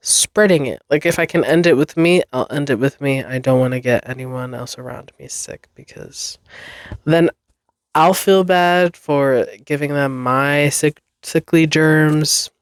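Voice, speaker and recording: A podcast host recovering from a cold, sounding a bit nasal and congested, rambling chattily in a basement with frequent laughs. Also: male voice, a little high, no room sound, clean studio sound. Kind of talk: casual solo podcast chat. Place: basement room.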